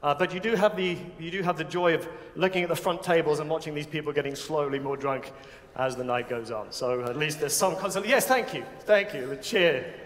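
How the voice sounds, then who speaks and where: A man's voice: a little high, fairly quick. Joking English man hosting on stage. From England. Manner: joking, self-mocking, chatty. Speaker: awards host. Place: large banquet hall with audience. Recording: long hall reverb.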